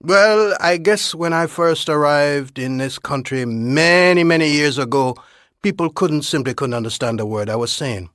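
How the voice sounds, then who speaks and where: A man recalling his own experience in a halting way, repeating words, growing animated as he describes things. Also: fairly high pitch, no room sound, clean studio sound. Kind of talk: unscripted personal account.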